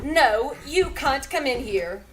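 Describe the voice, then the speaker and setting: A woman's voice: sits high, animated, slow, slight background noise. An actress playing a genteel, evasive society woman with a scheming side, in the style of 1940s radio drama. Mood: flustered.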